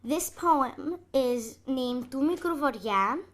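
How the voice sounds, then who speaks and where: A woman's voice: high-pitched, expressive, takes it slowly, faint music behind. A woman carefully introducing a poem she is about to recite.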